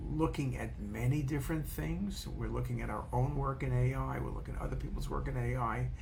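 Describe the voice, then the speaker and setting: A man delivers an informal, unscripted lecture as a medical educator. His voice sits at a medium pitch with a noticeable creaky, gravelly edge. He rambles a little and circles back to repeat key phrases, over faint room noise. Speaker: radiologist and educator.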